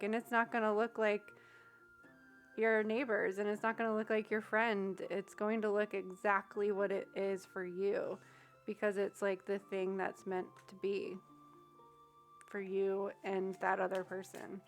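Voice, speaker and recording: A woman's voice: mid-range voice, flat delivery, normal speed, soft background music. A young woman chatting casually on a livestream, giving readings and thinking aloud with many fillers. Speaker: streamer giving tarot readings. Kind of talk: casual livestream chat with viewers.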